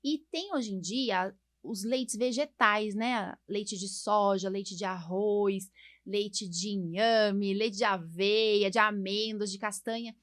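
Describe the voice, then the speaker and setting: A woman's voice: mid-range voice, fairly even intonation, slow, dry recording, studio quality. A woman with a Brazilian accent hosting a podcast in an informal, conversational way, often adding little check tags. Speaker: podcast host, allergist doctor.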